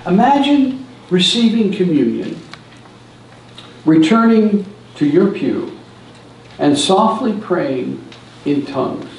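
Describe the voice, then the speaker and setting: A man's voice: sits high, sing-song, slow, vocal fry, long pauses, a little mellow, a little hiss or hum. An older man giving a church seminar talk, telling stories from a lectern in a large hall. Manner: storytelling, stands at a lectern and gestures. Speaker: seminar speaker. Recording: echoey hall from a distance.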